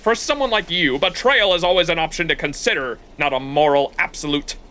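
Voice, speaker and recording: A man's voice: fairly high pitch, brisk, pushed and bright. A man voicing a flamboyant, boastful old film director villain from a game, with a grandiose, exclamatory delivery. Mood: scheming, theatrical. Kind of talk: acted reading of game dialogue.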